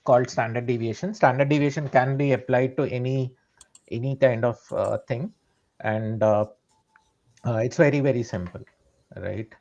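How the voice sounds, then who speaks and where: A man's voice: medium pitch, normal speed, vocal fry, darkish tone, dull audio. A man, a trader explaining his strategy step by step and often checking in with "right?". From India. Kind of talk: live trading session explanation.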